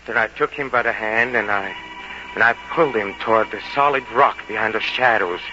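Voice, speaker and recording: An actor playing an obsessive, vengeful murderer confessing in a theatrical radio drama monologue, halting and repeating words as he gloats in torment. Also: lowish voice, sharp tone. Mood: feverish, gloating. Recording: muffled vintage radio recording. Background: dramatic music.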